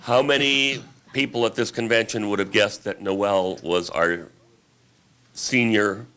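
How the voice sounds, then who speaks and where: A man conducting a panel interview in a deliberate, formal, halting way. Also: on the low side, sharp tone. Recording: noisy live recording with clicks.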